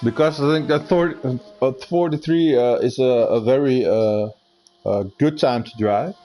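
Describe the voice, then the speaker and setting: Dutch-accented male co-commentator, mellow tone, halting delivery with fillers. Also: mid-range voice, faint music behind. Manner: hesitant, many fillers. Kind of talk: casual sim racing commentary.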